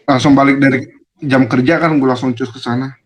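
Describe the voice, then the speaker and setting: A young man with a non-Jakarta regional Indonesian accent, telling stories as a podcast guest in a relaxed, rambling way. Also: medium pitch, a little fast, a crowd in the background. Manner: laid-back, rambling with fillers and laughs. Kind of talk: casual storytelling chat.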